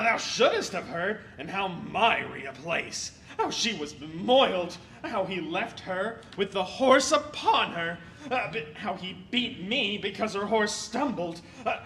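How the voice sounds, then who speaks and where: A man playing a garrulous, put-upon comic servant in a Shakespearean audio play, speaking theatrically with halting hesitations. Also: high-pitched, vocal fry, pushed and bright, a little hiss or hum, full character voice. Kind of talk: Shakespearean stage-style audio play acting.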